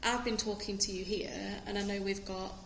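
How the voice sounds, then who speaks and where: Woman interviewer, mid pitch, soft prompting questions, noisy background. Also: fairly even intonation, a little fast. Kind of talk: community interview. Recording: noisy room recording.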